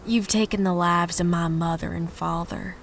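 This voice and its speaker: An actress playing a vengeful, grief-stricken young frontier woman in a western audio drama, speaking with slow, bitter deliberation. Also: lowish voice, fairly even intonation, creaky, a little hiss or hum. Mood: cold, bitter.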